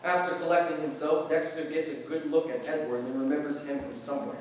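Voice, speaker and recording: High-pitched man reading stage directions, very echoey. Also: little pitch movement, fairly quick, a little mellow. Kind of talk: scripted narration at a staged reading. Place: large echoey room, live staged reading. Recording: very echoey room, distant mic, faint noise.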